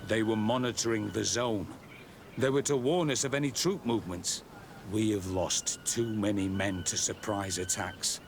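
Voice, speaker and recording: Actor as weary, low-voiced outpost captain, ambience behind. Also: male voice, normal speed, noisy background, lightly acted. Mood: grim, weary. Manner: bitter, self-deprecating. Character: weary, embittered male outpost military captain. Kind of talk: video game cutscene acting.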